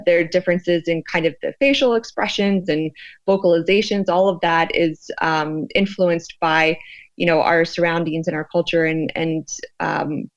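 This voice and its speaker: An American woman explaining her research conversationally as an interview guest, thoughtful and often pausing to find her words. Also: deep voice, dry recording, muffled recording. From United States. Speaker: sociologist and researcher, podcast guest.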